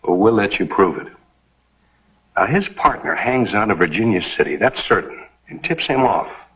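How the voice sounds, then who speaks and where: A man's voice: fairly low pitch, expressive, moderate pace, a bit bright, husky, heavily acted. An American actor playing a resolute, friendly lawman in an old TV western. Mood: businesslike. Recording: dull vintage TV western soundtrack.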